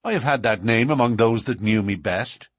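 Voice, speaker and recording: A man voicing a bold, quick-tongued outlaw newcomer in an audiobook, self-assured and defiant in a matter-of-fact way. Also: medium pitch, slightly rough, no room sound, studio quality, natural voice. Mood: cool, assured. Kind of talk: audiobook character dialogue.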